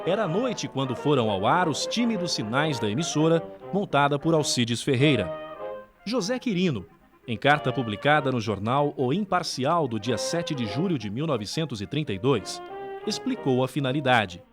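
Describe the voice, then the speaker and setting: A man's voice: mid-range voice, sing-song, normal speed, over music. A man with a Brazilian accent reading scripted documentary narration.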